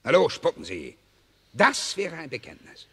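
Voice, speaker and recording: Ein Mann spielt in einem alten Hörspiel einen sadistischen, spöttischen SS-Offizier, der höhnt und Befehle bellt. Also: male voice, a little high, wildly swooping pitch, moderate pace, gravelly, fairly bright tone, slight background noise, heavily acted. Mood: mocking. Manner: sneering, taunting, barking orders. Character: sadistic mocking male SS officer. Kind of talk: 1960s German radio play acting. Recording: reverberant old mono radio play.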